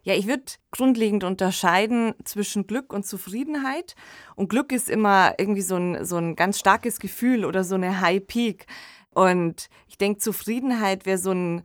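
A woman's voice: mid-range voice, moderate pace, no echo, clean studio sound. A woman hosting a podcast discussion, explaining her thoughts in a casual, conversational way. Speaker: podcast host.

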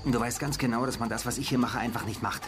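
An actor playing a streetwise, reluctant police informer in a radio crime drama. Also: male voice, mid-range voice, steady tone, quick pace, lightly acted. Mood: edgy, defensive. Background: music behind the voice.

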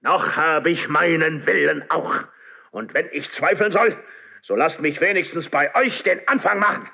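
A slow, imperious, suspicious king. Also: on the high side, gravelly, sharp tone, full character voice. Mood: wrathful, scornful. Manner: declamatory, commanding. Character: proud, suspicious, imperious king, lonely and wrathful. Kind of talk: 1970s German radio play, classical verse acting.

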